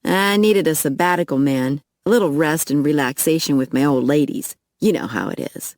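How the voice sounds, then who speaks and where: A woman's voice: low-pitched, normal speed, darkish tone, no echo, clean studio sound. A woman voicing a cocky, slangy young male vampire. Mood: breezy bravado. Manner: slangy, swaggering.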